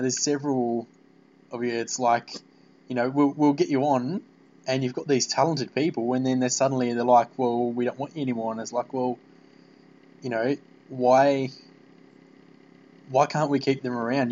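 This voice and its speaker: A man's voice: medium pitch, steady tone, normal speed, darkish tone, lo-fi sound. A man hosting a casual fan interview, rambling and repeating his phrases as he goes. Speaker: podcast interviewer.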